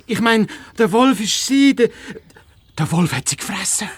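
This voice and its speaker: High-voiced, anxious hedgehog sidekick. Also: male voice, animated, normal speed, breathy edge, dry recording. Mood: worried, insistent. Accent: Swiss German. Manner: fretful, whining, hesitant. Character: timid, anxious hedgehog detective sidekick, fretful but insistent. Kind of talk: children's fairy-tale radio play.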